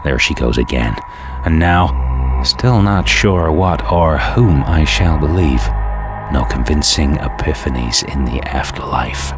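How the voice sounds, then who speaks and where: A man's voice: rumbling low, unhurried, over music. An actor playing a jaded, cynical antihero who narrates his war in a world-weary, sardonic way for a game. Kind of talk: hardboiled scripted narration.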